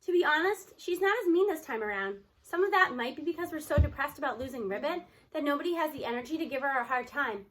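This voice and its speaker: A woman reading a children's novel aloud in an expressive storybook style, acting out the dialogue. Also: high-pitched, fast talker, poor audio. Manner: animated, acts out the characters' dialogue.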